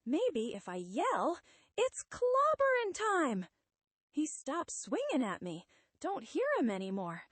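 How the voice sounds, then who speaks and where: Hulking monster hero, played by a woman: street slang, tough but tender, swooping pitch, comic-book story read aloud. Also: wildly swooping pitch, a little slow, husky, no echo, heavily acted. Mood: bewildered, worried. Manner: slangy tough-guy talk with dropped grammar.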